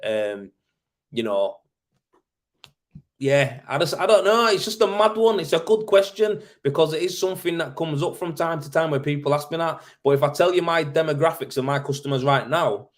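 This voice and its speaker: A man's voice: a little high, animated, normal speed. A man from Leeds with a Yorkshire accent, talking informally and colloquially as he hosts a casual livestream and answers questions. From Leeds, Yorkshire, England. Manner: informal, matey, colloquial, thinking aloud. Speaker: live show host, tradesman in plastering.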